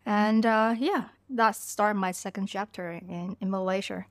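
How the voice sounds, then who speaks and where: A woman's voice: medium pitch, fairly even intonation, unhurried, dry recording. A woman in her thirties from Vietnam, speaking English as a podcast guest, reflecting loosely on her memories. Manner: reflective, talks through memories with loose phrasing. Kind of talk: casual conversational storytelling.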